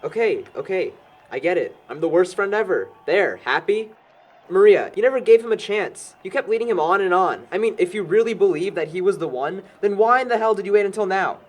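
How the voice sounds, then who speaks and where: A man's voice: sits high, expressive, a little fast. An actor playing a defensive, cynical young man in an amateur audio drama, arguing with sarcasm. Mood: bitter accusing. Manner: sarcastic, argumentative.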